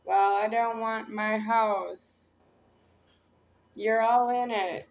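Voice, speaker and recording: Slow-talking woman, roomy distant recording. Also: on the high side, fairly even intonation. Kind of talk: rambling monologue addressed to a doctor.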